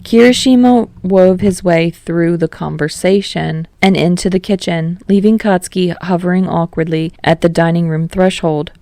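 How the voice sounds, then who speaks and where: A woman's voice: on the low side, steady tone, normal speed, few pauses, dark tone, no room sound. A woman reading a story aloud as scripted audiobook narration. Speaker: audiobook narrator of fan fiction.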